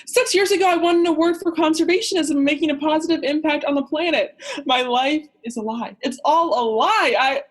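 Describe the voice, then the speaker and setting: A woman's voice: sits high, brisk, lightly acted. A woman playing an anxious, self-doubting, depressed male character in a radio play. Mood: despairing. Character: depressed, self-loathing male oceanographer, also as a boy.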